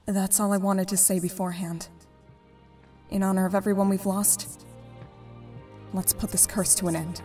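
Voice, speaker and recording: Solemn ruler of cursed sea folk acted by a woman, mellow, measured speechmaking, comic dub, distant over music. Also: medium pitch, little pitch movement, lightly acted. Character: stern, solemn merfolk ruler addressing cursed people.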